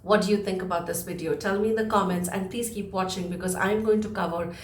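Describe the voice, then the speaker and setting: A woman speaks in a roomy, echoing space with a medium-pitched voice. She delivers a lesson like a communication coach, leaning on key words and deliberately shifting her speed for emphasis. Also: fairly even intonation. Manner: emphatic, deliberately varies speed to stress points, rhetorical questions. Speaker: communication coach presenting an instructional video. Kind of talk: spoken lesson to viewers. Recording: roomy, echoing room sound.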